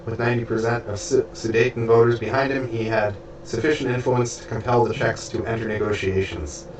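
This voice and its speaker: Stuffy man reading aloud, halting. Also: medium pitch, fairly even intonation. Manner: halting, stumbles over names and restarts words. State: stuffy and losing his voice. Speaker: audiobook reader and streamer. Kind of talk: reading a book aloud with spoken asides. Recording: roomy, distant from mic, faint background hiss.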